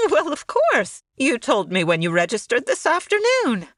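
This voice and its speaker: A woman's voice: high voice, wildly swooping pitch, a little slow, no echo, clean studio sound, exaggerated voice. A woman playing a timid, apologetic, chatty innkeeper who stammers hesitantly in a radio mystery parody. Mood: chatty, nervous. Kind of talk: old-time radio mystery parody acting.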